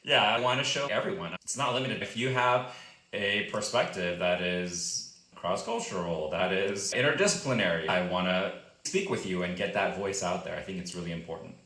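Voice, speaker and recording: A man hosting a podcast interview, upbeat and quick to laugh as he asks eager questions. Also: deep voice, pushed and bright. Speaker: podcast host. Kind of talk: interview conversation. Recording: echoey room.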